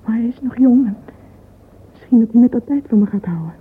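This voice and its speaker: An actress playing a dreamy, lovesick young woman in a radio play, thinking aloud in a husky, yearning voice. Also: fairly high pitch, lively intonation, fairly quick. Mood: hopeful, admiring. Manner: wistful, yearning. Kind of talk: radio drama interior monologue. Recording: muffled, phone-like radio play recording.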